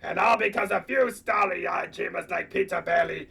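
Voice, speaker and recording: An actor playing a pompous, scornful rich old banker villain in a comedic radio play, declaiming each line. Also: male voice, sits high, normal speed, creaky, bright, forceful tone, husky, distant mic, heavily acted. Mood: scornful, haughty.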